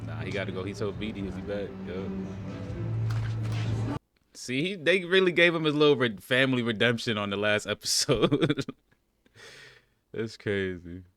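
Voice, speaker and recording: A man's voice: fairly low pitch, expressive, a little slow, long pauses, fairly bright tone, soft background music. A young man co-hosting a TV reaction, chatting casually, swearing freely and laughing often. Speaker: co-host reacting to a TV series.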